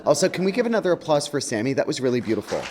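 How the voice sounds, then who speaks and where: A man's voice: a little high, sing-song, very fast, a little mellow, a little hiss or hum. Chatty, wry man speaking to a congregation. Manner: chatty, wry, campy slang. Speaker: guest speaker and author. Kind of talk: conversational sermon message to a congregation.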